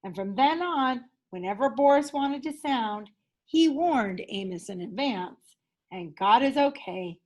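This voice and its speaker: A woman, a teacher, narrating a children's picture book aloud in an expressive storytelling style. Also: a little high, a little slow.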